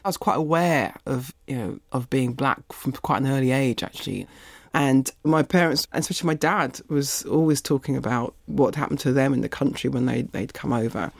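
A woman's voice: extremely low pitch, brisk, gravelly, few pauses, no echo, studio quality. Thoughtful middle-aged woman guest. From Barbados, raised in East London. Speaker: interview guest. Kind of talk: reflective conversational storytelling.

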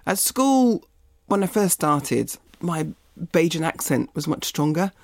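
A woman's voice: low-pitched, sing-song, a little slow, no room sound, clean studio sound. A middle-aged woman, from Barbados and raised in East London, speaking as an interview guest and telling reflective stories about her childhood. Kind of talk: reflective conversational storytelling.

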